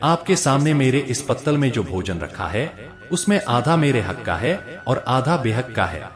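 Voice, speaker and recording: A man's voice: medium pitch, big pitch swings, unhurried. A man voicing an honest old village weaver in a told folk tale, explaining patiently and plainly. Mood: patient, confessing.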